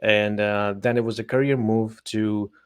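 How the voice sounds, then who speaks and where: A man's voice: fairly low pitch, flat delivery, a little slow, clear, resonant tone, no room sound. A man in his forties from Pakistan, a telecom executive, giving thoughtful interview answers with many hesitations.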